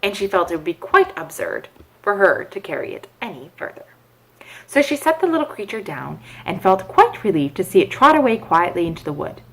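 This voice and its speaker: A woman reading a children's bedtime story aloud in an expressive narrating style. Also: mid-range voice, brisk, husky, low-quality recording.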